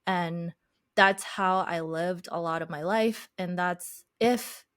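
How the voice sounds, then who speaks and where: A woman guest telling her life story in an interview, reflective and unhurried, whose first language is Chinese. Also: lowish voice, a bit bright, dry recording, clean studio sound. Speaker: podcast guest, life coach.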